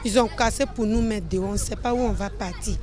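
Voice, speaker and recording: A woman's voice: medium pitch, fast, a little mellow. A woman speaks insistently in a street interview, going back to the same worry again and again. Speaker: evicted resident.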